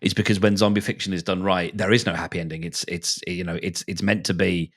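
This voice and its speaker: A middle-aged man talking casually and enthusiastically about books and films, in halting, stop-start phrases. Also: sits low, little pitch movement, a bit bright.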